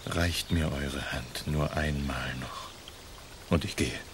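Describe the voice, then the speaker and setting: An actor playing a bold, charming masked outlaw in a radio play, courting in a hushed, courtly, seductive manner. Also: male voice, very deep voice, fairly even intonation, takes it slowly, background noise. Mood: ardent, seductive.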